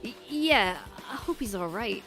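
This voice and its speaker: A woman's voice: fairly high pitch, animated, measured pace, a bit bright, faint music behind. A woman voicing an easygoing, earnest and friendly penguin detective in a fan mystery story. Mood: calm, friendly.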